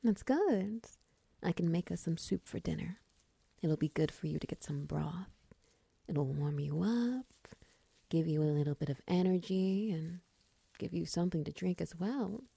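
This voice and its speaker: A seductive, doting spider woman played by a female voice actor for a romance audio roleplay. Her voice is very dark and soft, lowish and breathy, slipping often toward a whisper. She coaxes slowly and teasingly, right up close on a dry mic. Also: lively intonation, dry recording, full character voice. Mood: soothing, caring. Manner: slow, coaxing, teasing endearments. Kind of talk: intimate ASMR-style romance audio roleplay.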